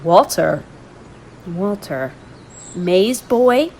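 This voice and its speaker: A narrator voicing a shrill, bossy middle-aged woman with a high, grating voice who scolds and accuses. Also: expressive, extremely slow, a little hiss or hum. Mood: scolding.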